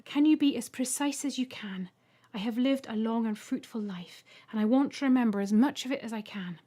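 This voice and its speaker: A woman's voice: fairly high pitch, brisk, mellow, close to an everyday voice. Woman playing a bewildered, plain-spoken elderly lady. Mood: resigned, pleading. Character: bewildered, indignant seventy-three-year-old woman.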